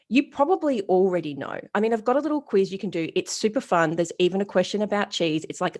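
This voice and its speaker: A woman in her forties giving an interview as a retail strategist, telling stories bluntly and casually and acting out the people she quotes. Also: mid-range voice. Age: 40s. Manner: lively, animated storytelling with quoted dialogue. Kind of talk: storytelling interview answer.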